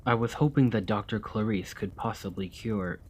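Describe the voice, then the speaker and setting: A man playing a centuries-old vampire gentleman in a scripted ASMR roleplay, weary and courteous, with formal, old-fashioned manners and a measured delivery. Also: lowish voice, breathy edge. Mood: polite, regretful.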